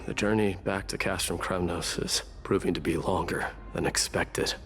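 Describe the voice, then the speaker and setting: A man playing a brooding, resolute exiled warrior prince in a video game, grave, measured and introspective. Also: sits low, steady tone, fairly bright tone, soft background music. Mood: melancholy, reflective. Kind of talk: dramatic video game monologue.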